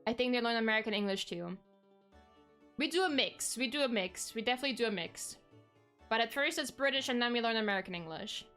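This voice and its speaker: A young woman, her voice pitched a little above average and smooth, chats loosely with her viewers from a home streaming setup, trailing off and correcting herself as she goes. Soft music plays faintly underneath. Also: animated, quick pace. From Germany. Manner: chatty, rambling, frequent ums and self-corrections. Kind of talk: casual chat with viewers.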